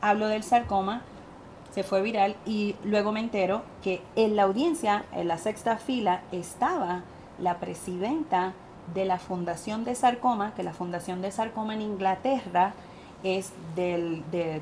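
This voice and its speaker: A woman with a Puerto Rican accent, a guest medium telling her story in an animated interview. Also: medium pitch, slight background noise. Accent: Puerto Rican Spanish. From Puerto Rico.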